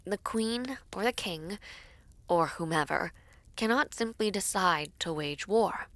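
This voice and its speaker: A woman playing a composed young princess in a fantasy audio roleplay, speaking in formal, deliberate, stately phrases. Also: mid-range voice, lively intonation, a bit bright, dry recording. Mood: measured, authoritative. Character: composed young princess negotiating a peace treaty, regal and measured.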